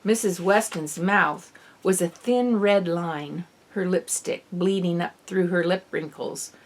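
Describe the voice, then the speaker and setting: A woman reading expressive scripted narration from a novel as an audiobook. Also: fairly low pitch, takes it slowly, background noise. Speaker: author reading own novel.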